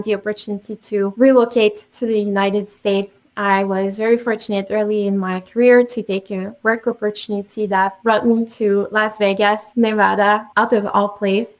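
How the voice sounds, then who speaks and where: A French Canadian woman, with French as her first language, speaks as a guest on a podcast. She talks in a steady, optimistic, storytelling way. Her voice sits at an ordinary middle pitch. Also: moderate pace, few pauses, low-quality recording. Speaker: guest, real estate agent. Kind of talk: podcast interview.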